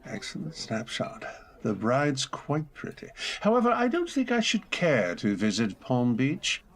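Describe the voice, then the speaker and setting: A man playing a melancholy, reserved gentleman with formal, polite manners in a radio drama. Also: medium pitch, wildly swooping pitch, a little slow. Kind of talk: old-time radio drama acting.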